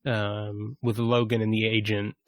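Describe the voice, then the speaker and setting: A man co-hosting a podcast, explaining and qualifying his points in a relaxed, informal discussion. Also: lowish voice, unhurried, dry recording. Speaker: podcast host. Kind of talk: casual discussion.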